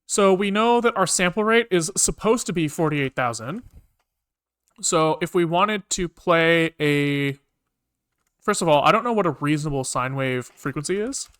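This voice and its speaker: A man's voice: a little high, expressive, normal speed, fairly bright tone, clear, resonant tone. A man talking casually to himself as he works through code on a live stream, informal and muttering. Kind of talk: casual think-aloud live coding commentary.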